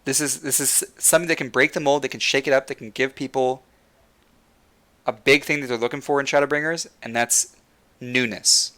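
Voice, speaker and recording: Bright-voiced young man, passionate stream host. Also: mid-range voice, fairly even intonation, brisk. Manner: passionate, repeats words while thinking. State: losing his voice. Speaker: livestream host. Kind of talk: casual gaming rant to chat.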